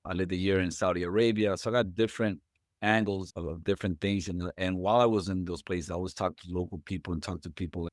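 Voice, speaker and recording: Podcast host, middle-aged man from Puerto Rico, low and halting, dry close sound. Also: no echo. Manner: halting, repeats words as he thinks. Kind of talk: conversational interview.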